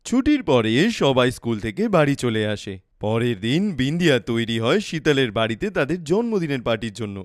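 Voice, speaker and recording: A man's voice: mid-range voice, sing-song, fairly quick, few pauses, no echo, studio quality. A man narrating a scripted children's cartoon story.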